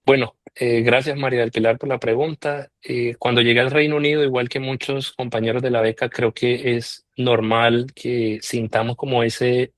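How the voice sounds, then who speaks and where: A man answers interview questions, taking his time and filling pauses with soft hesitations. His voice is mid-pitched, and the recording is close and dry, with no room sound. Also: level tone, dry recording. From Colombia. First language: Spanish. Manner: measured, with frequent "eh" pauses. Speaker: interviewed scholarship alumnus.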